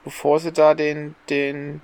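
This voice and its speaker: A man's voice: on the high side, monotone, takes it slowly, creaky, a little mellow. A man co-hosting a podcast in a casual, conversational style. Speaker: podcast co-host.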